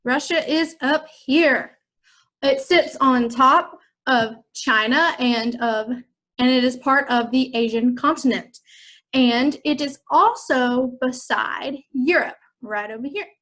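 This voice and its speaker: A woman's voice: sits high, slow, a bit bright. A young woman from the United States, a music teacher giving an upbeat, chatty online lesson to children.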